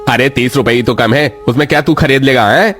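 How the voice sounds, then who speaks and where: A man's voice: mid-range voice, a little fast, a bit bright, faint music behind, natural voice. A man playing a stingy, domineering landowner in a cartoon story, with a brusque, condescending manner. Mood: scornful, bossy. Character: stingy, domineering landowner boss of farm labourers. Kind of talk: Hindi cartoon story acting.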